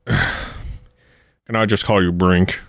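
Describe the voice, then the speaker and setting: A man playing a suave, scheming German villain with a measured, theatrical delivery in a 1940s-style radio play. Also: low-pitched, wildly swooping pitch, gravelly, fairly bright tone, no echo, studio quality, exaggerated voice. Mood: smug menace.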